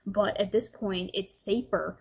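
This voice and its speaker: Husky woman on dull lo-fi audio. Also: mid-range voice, a little slow. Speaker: podcast guest. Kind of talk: casual discussion.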